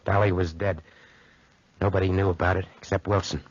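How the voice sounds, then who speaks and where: A man's voice: sits low, flat delivery, brisk, no echo. An actor playing a cynical, callous young schemer in a 1940s radio drama, with slangy American tough-guy talk. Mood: brooding narration. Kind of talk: 1940s radio drama acting with first-person narration. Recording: muffled, band-limited 1940s radio recording.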